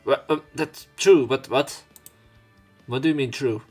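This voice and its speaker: A man's voice: medium pitch, slow pace, a little mellow. A man hosting a let's play, reading a visual novel aloud with casual commentary, often stumbling over words and correcting himself. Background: game music behind the voice.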